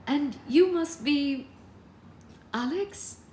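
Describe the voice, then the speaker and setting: A woman's voice: high voice, animated, extremely slow, warm tone, pitched above the performer's own voice, exaggerated voice. A woman voicing a prim, middle-aged, respectable mother who is politely cutting, gushing and condescending in a storybook reading. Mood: falsely gracious. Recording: roomy echo, background noise.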